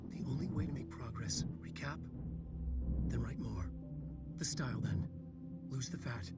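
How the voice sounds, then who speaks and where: Actor as a brooding writer, distant under loud music. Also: male voice, mid-range voice, expressive, measured pace, natural voice. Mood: brooding, searching. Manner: intense, terse, fragmented delivery, often hushed. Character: brooding male writer narrating dark, cryptic horror prose. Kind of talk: dramatic literary monologue.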